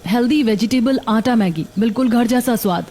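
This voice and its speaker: A woman playing a kind, hopeful young daughter-in-law who talks gently and reassuringly in a cartoon story. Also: on the high side, brisk, close to an everyday voice. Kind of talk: Hindi cartoon story acting.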